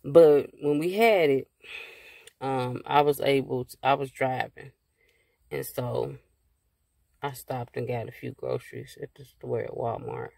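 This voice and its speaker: A woman in her thirties talks into a phone held at arm's length inside a parked car. Her voice is gravelly and her delivery unhurried. She rambles in a relaxed way, with plenty of fillers. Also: extremely low pitch, dry recording. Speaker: vlogger. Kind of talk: casual car chat to camera.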